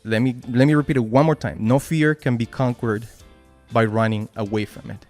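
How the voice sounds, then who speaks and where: A man's voice: mid-range voice, fairly even intonation, brisk, a little mellow, soft background music. A man with a Spanish accent giving a casual motivational talk at a team meeting, with frequent restarts and "right?" tags.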